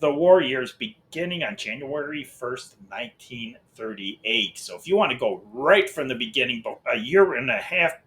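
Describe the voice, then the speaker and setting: A man's voice: mid-range voice, animated, normal speed, hardly any pauses, a bit bright. A middle-aged American man hosting a channel gives a casual, unscripted update, hesitant and rambling, often breaking off and repeating himself. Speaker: host of an old-time radio channel.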